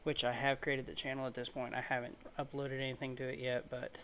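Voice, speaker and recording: A man giving informal, unscripted, somewhat halting commentary as he hosts a let's-play video. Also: mid-range voice, little pitch movement, fairly bright tone. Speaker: gaming video host. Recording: faint noise in home recording.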